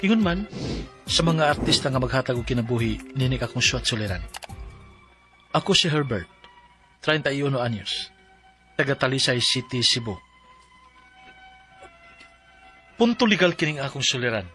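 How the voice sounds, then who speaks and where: A man's voice: medium pitch, animated, fairly quick, pauses often, sharp tone, soft background music. An actor playing an earnest, dutiful man in his thirties with a Cebuano accent in a radio drama. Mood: earnest narration. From Philippines. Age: 30s. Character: earnest, dutiful young Cebuano man, troubled letter-writer. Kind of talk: Philippine radio drama acting with letter narration.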